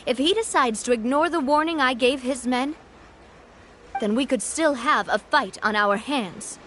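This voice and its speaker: A woman's voice: high voice, measured pace, a bit bright, a little hiss or hum. A woman playing a confident, gracious young leader in a video game, composed and breezy. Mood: earnest. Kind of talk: video game character acting.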